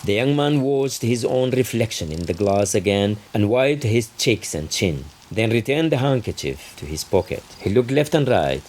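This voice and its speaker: A man's voice: lowish voice, big pitch swings, normal speed, few pauses, a little mellow. A man reading literary fiction aloud as its author, in scripted narration. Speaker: author reading from his novel.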